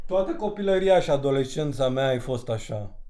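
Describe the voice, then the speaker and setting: A man's voice: mid-range voice, animated, mellow, slight background noise, close to an everyday voice. An actor playing a troubled grown son, a young man confiding in a bitter, wry, conversational way in an audio drama. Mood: bitter, ashamed.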